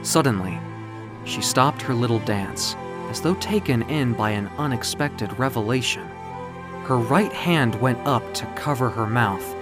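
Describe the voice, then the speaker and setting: A narrator telling a dramatic story in a measured, literary, theatrical way, as in an audio comic book. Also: medium pitch, lively intonation, over music.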